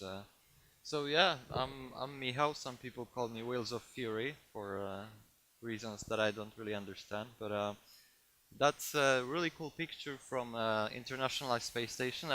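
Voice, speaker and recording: A man with a Polish accent giving a technical talk to a live audience, halting often with fillers and restarts. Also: medium pitch, sharp tone. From Poland. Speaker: conference speaker.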